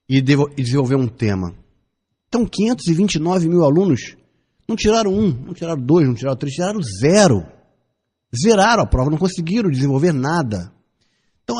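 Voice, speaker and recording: A Brazilian man in his fifties delivers a radio opinion piece, pressing his case with repeated phrases and pointed questions. His voice is somewhat husky and warm in tone, and it rises and falls a great deal as he argues. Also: a little high. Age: 50s. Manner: emphatic, builds arguments with repetition and rhetorical questions. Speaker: radio commentator. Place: radio studio.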